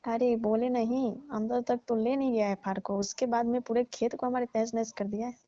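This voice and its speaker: A woman's voice: on the high side, little pitch movement, moderate pace, mellow, dry recording. A woman chatting casually and explaining herself in a comic phone conversation. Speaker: caller playing a farm owner. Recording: dull lo-fi phone call recording.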